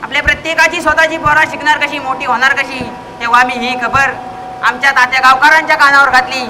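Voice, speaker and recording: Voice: high-pitched, fairly even intonation, fast talker, background noise, lightly acted. A performer playing an earnest village speechmaker, reciting a rehearsed oration in a live school stage comedy. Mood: declamatory. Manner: oratorical, recited, addressing the villagers. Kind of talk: declamatory school stage comedy acting in Marathi.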